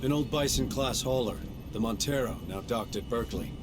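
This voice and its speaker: A man's voice: medium pitch, fairly quick, natural voice. A man playing a weary, stubborn marine sergeant in a video game, terse and blunt. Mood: businesslike. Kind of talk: video game cutscene acting. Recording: music under the voice.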